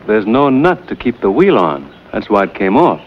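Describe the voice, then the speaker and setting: A low-voiced frontier man, easygoing and wry, who drawls hesitantly. The sound is dull and lo-fi, with a faint hiss behind him. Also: big pitch swings, normal speed. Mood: friendly. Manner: hesitant, drawling, full of uhs. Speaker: actor in a western drama. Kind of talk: vintage television drama acting.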